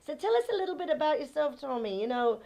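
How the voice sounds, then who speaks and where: A woman's voice: high-pitched, big pitch swings, quick pace, gravelly, warm tone. A woman with a non-American English accent hosting a local TV talk show, bubbly and gushing as she interviews a guest and talks to viewers. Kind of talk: chatty TV interview.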